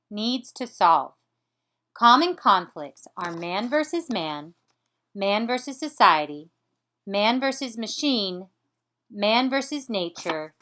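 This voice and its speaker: A woman teaching a lesson in a deliberate, step-by-step manner. Her pace is slow and careful, and her medium-pitched voice moves up and down in a lively way. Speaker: teacher presenting a writing activity. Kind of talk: instructional lesson.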